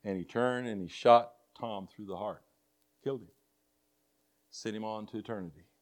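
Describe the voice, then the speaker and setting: A man's voice: on the low side, normal speed. An older man with a Texas drawl preaches to a congregation, weaving folksy personal stories into his sermon. He talks earnestly, often restarting and repeating words as he goes. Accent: Texan. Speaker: missionary preacher.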